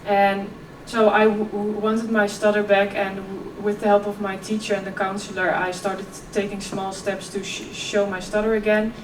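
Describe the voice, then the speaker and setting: A woman's voice: medium pitch, level tone, moderate pace, hardly any pauses. A young woman giving a keynote talk, stuttering openly and speaking casually with many fillers. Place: large conference hall. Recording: echoey hall, distant mic, audience noise.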